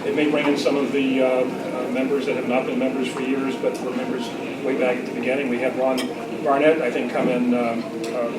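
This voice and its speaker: A man's voice: mid-range voice, little pitch movement, a little fast. A man chairing an informal club meeting and making announcements in a hesitant, rambling way. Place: large indoor luncheon room. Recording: distant mic, echoey noisy room.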